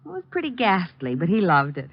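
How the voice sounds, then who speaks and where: A woman's voice: fairly low pitch, wildly swooping pitch, brisk, exaggerated voice. An American actress playing a playful, refined young society girlfriend in a 1940s radio drama, coy and teasing. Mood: amused, chatty. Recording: muffled 1940s radio recording, music behind.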